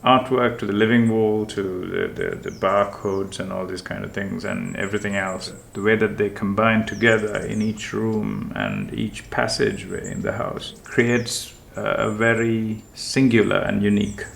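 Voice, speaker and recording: A man from Sri Lanka, a homeowner, talking in a slow, thoughtful and hesitant way about his house. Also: on the low side, little pitch movement, creaky, slight background noise. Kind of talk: reflective conversational chat.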